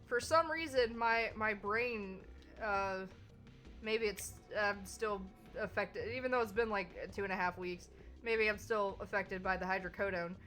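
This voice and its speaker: A woman with a Southern US accent, hosting a casual stream chat, animated and laughing, swearing freely. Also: mid-range voice, unhurried. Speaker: streamer host. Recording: faint background music.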